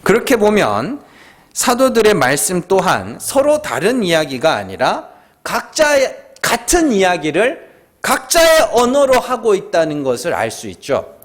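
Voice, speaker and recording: A pastor with a Gyeongsang accent preaches in a conversational way, firing rhetorical questions and prompts at his listeners. His voice sits high for a man and leaps wildly up and down as he stresses each point. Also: wildly swooping pitch, a little slow. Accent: Gyeongsang Korean. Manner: emphatic, swooping delivery. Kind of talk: Friday prayer meeting sermon.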